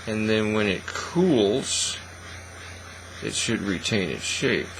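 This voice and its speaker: A middle-aged man giving a casual, folksy, unhurried how-to demonstration at an indoor hobby bench. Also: fairly low pitch, lively intonation. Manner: folksy, unhurried step-by-step talk. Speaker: hobby craft tutorial host. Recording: faint mains hum and noise.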